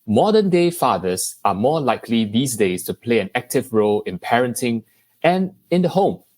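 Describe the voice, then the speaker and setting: A man hosting a podcast, reading a scripted introduction in a measured, polished way. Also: medium pitch, very animated, a little mellow. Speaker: podcast host.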